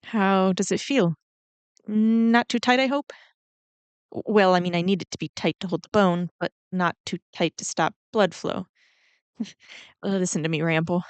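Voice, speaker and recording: A woman voicing a kindly, flustered giant ant queen in a roleplay audio drama, speaking gently and hesitantly to the listener. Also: lowish voice, normal speed, long pauses, clear, resonant tone, no room sound, natural voice. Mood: caring, flustered. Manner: gentle, hesitant, rambling with small nervous laughs.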